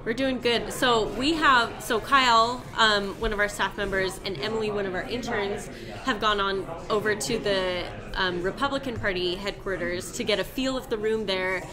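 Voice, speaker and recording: A woman in her twenties co-hosting a live election night stream, explaining politics in a relaxed, chatty way. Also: mid-range voice, moderate pace. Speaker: co-host. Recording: noisy room with crowd chatter.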